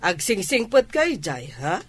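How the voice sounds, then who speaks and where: Female actor as radio drama mother, determined, Ilocano Filipino accent, medium pitch. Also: very animated, a little slow, breathy edge. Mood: firm. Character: firm, determined mother sending her son abroad.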